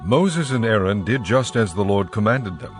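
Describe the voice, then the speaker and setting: A man with a lowish voice narrates scripture in a measured, formal way. Faint music sits behind his scripted reading. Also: expressive.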